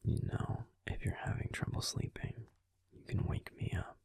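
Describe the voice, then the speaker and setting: A man's voice: moderate pace, no room sound, lightly acted. A man voicing a grumpy but tender male werewolf boyfriend in a whispered bedtime audio roleplay, fussing protectively and then soothing. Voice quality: gruff and soft whispering.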